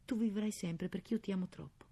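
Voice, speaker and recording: A woman's voice: fairly low pitch, normal speed, creaky, warm tone, husky. Woman playing plaintive ten-year-old girl. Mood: tearful pleading. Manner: plaintive, pleading. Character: sensitive ten-year-old girl, tearful, pleading, devoted.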